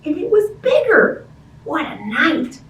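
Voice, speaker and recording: A woman's voice: sits high, very animated, slow pace, fairly bright tone. A woman hosting story time for young children, reading a picture book expressively and chatting in simple, child-directed language with questions to her listeners. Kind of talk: animated picture-book read-aloud and chat to young children. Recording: roomy, distant-sounding, faint background noise.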